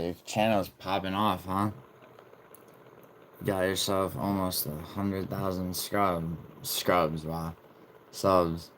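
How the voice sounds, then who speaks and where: Low-voiced man rambling on a livestream. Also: slight background noise, rough recording. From born in Italy, raised in New York City. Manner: rambling, swearing, reading chat aloud. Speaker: livestream host. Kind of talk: casual livestream chat.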